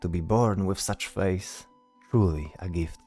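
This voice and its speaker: A man with a low, mellow voice narrates a scripted fantasy tale. His delivery is deliberate, laid out in short phrases. Faint music plays behind him. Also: breathy edge. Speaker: narrator, storyteller.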